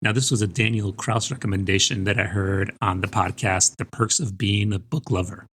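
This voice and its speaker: A man's voice: on the low side, steady tone, normal speed, fairly bright tone. A man co-hosting a podcast, talking conversationally about books. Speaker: book podcast co-host. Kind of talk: conversational podcast book talk.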